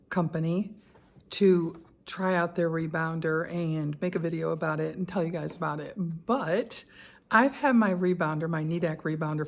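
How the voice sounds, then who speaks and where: An older woman, telling a personal story to camera in an easy, conversational way. Her voice sits a little low, and she keeps things chatty and candid, dropping in asides and small laughs. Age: 60s. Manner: conversational with frequent fillers and asides. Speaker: lifestyle vlogger. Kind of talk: personal reflective vlog.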